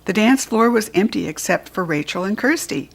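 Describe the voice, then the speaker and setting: A woman reads a children's fairy story aloud in a clear, expressive way. Her voice sits at an ordinary middle pitch. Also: normal speed. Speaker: storybook reader.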